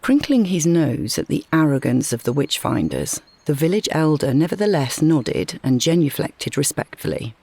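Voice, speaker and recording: A woman reading a scripted short story as narrator, in a measured, dramatic storytelling manner. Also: sits low, very animated, dark tone, husky.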